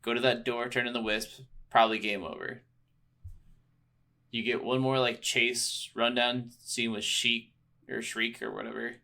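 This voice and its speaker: A man's voice: mid-range voice, level tone, unhurried, gravelly, sharp tone. A man co-hosting a video game podcast in casual, sweary conversation, agreeable and laid-back as he chimes in.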